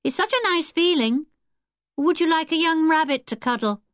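A woman's voice: high-pitched, lively intonation, moderate pace, dry recording, studio quality, pitched above the performer's own voice. A woman voicing a cocky storybook boy. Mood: boisterous. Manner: taunting, offhand. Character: cocky, rude, teasing young boy in a storybook.

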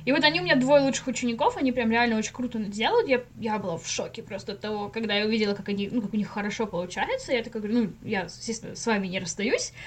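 A woman's voice: a little high, expressive, very fast, few pauses. A woman chatting casually as a podcast guest, an animation teacher who tells stories expressively and often acts out quotes with laughter. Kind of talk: casual interview chat.

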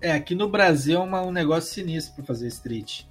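A man hosting a casual, slangy chat and asking his guest relaxed follow-up questions. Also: on the high side, a little fast, slightly rough, faint music behind. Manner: relaxed slangy interviewer, asks follow-ups. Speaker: podcast host.

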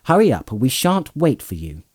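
A man reading an audiobook aloud and voicing a cheerful, bossy young male hobbit, brisk and a little raised above his narration. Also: medium pitch, wildly swooping pitch, husky, voice pushed up. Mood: cheery, bossy. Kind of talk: audiobook character voice.

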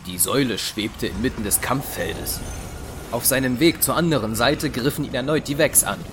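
A man's voice: medium pitch, normal speed, noisy background. A man narrating a scripted sci-fi audio drama as its storyteller. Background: music.

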